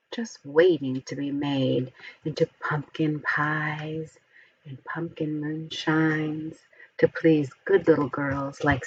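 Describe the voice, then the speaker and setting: A woman reading a storybook aloud to young children, expressively and with deliberate, careful enunciation. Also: sits low, slightly rough, muffled recording. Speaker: storybook reader.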